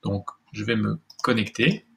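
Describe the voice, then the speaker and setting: A man's voice: lowish voice, lively intonation, a little slow, vocal fry. A man presenting a screencast tutorial step by step, thinking aloud with some hesitation as he demonstrates.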